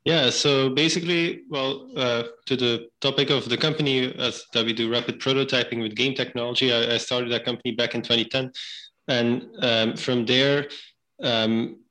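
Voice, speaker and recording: A man's voice: medium pitch, steady tone, moderate pace, sharp tone, poor audio. A man from Belgium, a game company founder, talking as a podcast guest in a hesitant way with many fillers. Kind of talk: casual interview talk.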